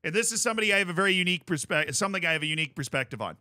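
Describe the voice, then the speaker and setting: An American man chatting on a live stream as he plays, with a fairly high voice that has a bright, sharp edge. He explains in long, animated tangents and keeps tossing in "right?" to the chat. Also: very fast, dry recording, studio quality. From United States. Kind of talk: casual chat while playing.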